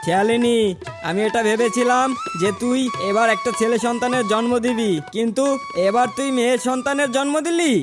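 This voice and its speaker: A man plays a harsh cartoon fox father with a very high-pitched voice for a male. He is domineering and quick to scold and threaten, delivering dramatic lines over clear background music. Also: fairly even intonation. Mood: angry. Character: angry, harsh male fox father who scorns daughters. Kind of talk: dramatic children's cartoon dialogue.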